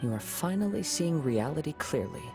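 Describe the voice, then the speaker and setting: An actor voicing a calm, thoughtful young wandering swordsman in a video game, speaking in a measured, gentle way. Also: a little high, creaky, over music. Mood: reflective.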